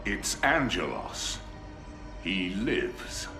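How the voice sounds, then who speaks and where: An actor playing a stern, disgraced inquisitor. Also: male voice, sits low, sing-song, extremely slow, exaggerated voice. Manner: stern, urgent. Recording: echoey with music.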